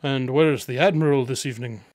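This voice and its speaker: A man's voice: medium pitch, brisk, darkish tone, dry recording, close to an everyday voice. A man playing a shrewd, self-assured detective in a radio drama, speaking formally with a wry, faintly condescending manner. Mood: businesslike, thoughtful. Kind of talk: scripted radio drama acting.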